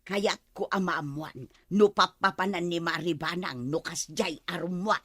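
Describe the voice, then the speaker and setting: A woman with an Ilocano accent playing a nosy, suspicious village gossip in a radio comedy drama, animated and insistent. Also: lowish voice, unhurried, breathy edge. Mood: suspicious, gossipy.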